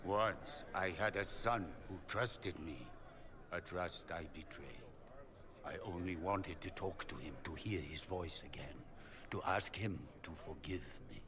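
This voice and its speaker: An actor playing an older noble father in a video game, formal, measured and regretful. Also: deep voice. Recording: crowd chatter behind.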